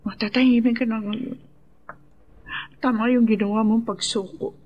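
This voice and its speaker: A woman's voice: a little high, fairly even intonation, normal speed, highs cut off, natural voice. An actress playing an earnest woman who gives counsel in a Filipino radio drama. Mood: earnest, grave. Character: earnest woman advising a remorseful man.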